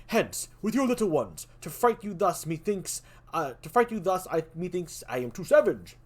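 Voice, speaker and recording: A woman voicing an anxious, humble male messenger in a raised, urgent, hurried voice while reading a play aloud. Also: fairly low pitch, sing-song, darkish tone, slightly rough, pitched above the performer's own voice.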